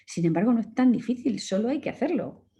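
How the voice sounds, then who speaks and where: A woman from Murcia with a Murcian Spanish accent, giving an online lecture as a communication course instructor in a calm, instructive way, with direct advice. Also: a little high, fast. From Murcia, Spain.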